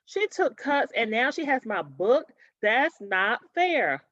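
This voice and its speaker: Woman voicing an indignant, emphatic young schoolgirl, high-pitched. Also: sing-song, fairly bright tone, dry recording, dull audio, exaggerated voice. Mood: accusing. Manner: emphatic, complaining, drawn-out stresses. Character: indignant young schoolgirl with a strong sense of fairness.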